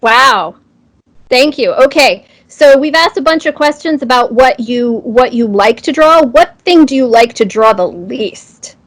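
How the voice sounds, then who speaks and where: Higher-voiced woman hosting a casual interview. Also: measured pace, poor audio. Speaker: interviewer, host.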